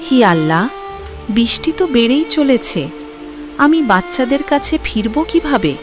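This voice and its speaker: Woman as a mother bird, highish voice, animated pitch, worried, determined, children's cartoon, music bed. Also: unhurried. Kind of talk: children's cartoon story acting.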